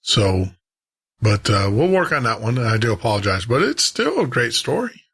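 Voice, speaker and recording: A man's voice: medium pitch, very animated, moderate pace, a bit bright, breathy edge. A man hosting a show, chatting to listeners in an informal, rambling way. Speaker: podcast host.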